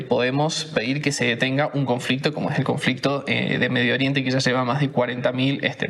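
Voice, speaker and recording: An Argentine man speaking as an interview guest, with a voice sitting mid-range for a man. He answers slowly and haltingly, circling back with filler words and restarting phrases. The tone stays conversational and earnest. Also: medium pitch, fairly bright tone. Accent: Argentine Spanish. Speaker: guest journalist.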